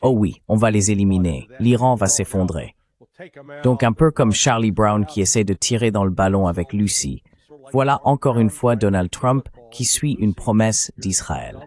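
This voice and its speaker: Man, somewhat low voice, political discussion voice-over, blunt, opinionated. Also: lively intonation, moderate pace, darkish tone.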